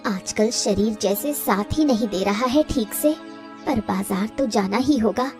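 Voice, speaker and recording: A woman voicing a gentle, tired, self-sacrificing elder co-wife in a Hindi cartoon story. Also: fairly high pitch, a little slow, music in the background, natural voice. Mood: weary.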